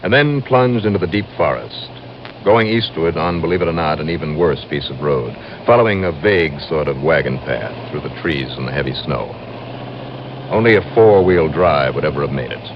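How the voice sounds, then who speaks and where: An actor playing a tough, steady investigator who narrates his case in 1950s radio drama style. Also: rumbling low, moderate pace, highs cut off, background noise. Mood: wry, steady. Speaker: narrator and lead. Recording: band-limited old radio recording.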